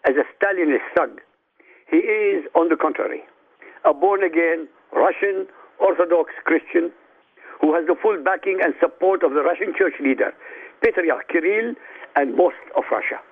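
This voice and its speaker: A man calls in over a tinny phone line, his raised voice gravelly and husky. He lays out his case in an emphatic, declaiming manner, pushing key words up in pitch. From Iraq. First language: Arabic. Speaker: radio guest commentator. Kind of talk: prepared political commentary.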